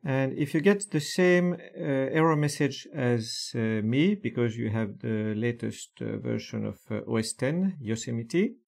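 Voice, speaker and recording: A man calmly walking through software steps one by one in a screen-recorded tutorial, with frequent hesitations. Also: medium pitch, creaky. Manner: slow, methodical step-by-step explanation with frequent fillers.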